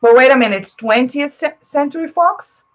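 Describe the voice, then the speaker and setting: A woman's voice: high voice, normal speed. A woman from Brazil whose first language is Portuguese, hosting a casual live stream, chatting and answering viewer comments with lots of fillers. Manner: chatty, opinionated, frequent fillers.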